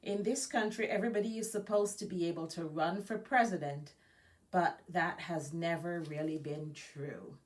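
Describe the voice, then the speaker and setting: A woman's voice: lowish voice, fairly even intonation, normal speed. A woman from Jamaica, an education nonprofit director, reading aloud for a children's story time with informal asides.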